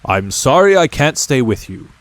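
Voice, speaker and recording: A man's voice: medium pitch, sing-song, moderate pace, fairly bright tone, no echo. A man voicing a kindly, caring middle-aged miner father in a storybook reading. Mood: apologetic.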